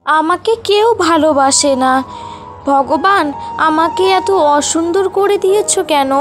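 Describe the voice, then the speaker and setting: A woman voicing a meek, kind, sorrowful elder wife in a cartoon folk tale, with a gentle, plaintive manner. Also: extremely high pitch, unhurried. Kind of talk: animated folk tale dialogue. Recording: voice over prominent background music.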